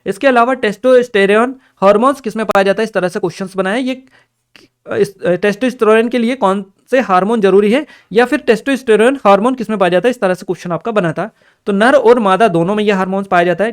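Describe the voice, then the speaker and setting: A man explains exam questions as a coaching teacher, repeating key terms and reminders in an instructional way. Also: high-pitched. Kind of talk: explanatory exam-paper lecture to camera or screen.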